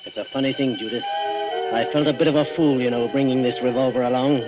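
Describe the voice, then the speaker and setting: An honest, stiff-backed gentleman hero of a 1940s radio thriller, played by a man, with a middle-pitched voice. He speaks bluntly and haltingly, with indignant resolve, on a dull old recording. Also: fairly even intonation, loud music behind the voice, close to an everyday voice. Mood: grim resolve. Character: earnest, indignant English gentleman in love.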